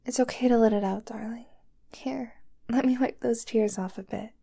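A woman voicing a doting, possessive young girlfriend who holds her lover captive, talking softly and sweetly in a whispery voice. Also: a little high, fairly even intonation, normal speed, vocal fry, breathy edge. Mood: soothing. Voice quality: soft and whispery. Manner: sweet, clingy, coaxing. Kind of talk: roleplay audio drama to the listener.

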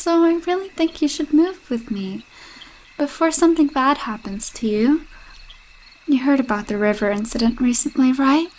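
A woman's voice: sits high, natural voice, rough recording. A young woman voicing a possessive, jealous girlfriend with a sinister streak, sweet-talking and coaxing in a roleplay audio drama. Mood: sweetly controlling, then obsessively worried. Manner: sweet-talking, coaxing, with unsettling laughs and hushed asides.

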